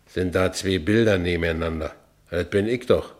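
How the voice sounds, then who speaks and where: A man's voice: low voice, normal speed, lightly acted. An actor playing Franz, a broken, stubborn working-class man with a Berlin accent, in blunt short phrases in a radio play. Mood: troubled, bewildered. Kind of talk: first-person radio play narration and acting.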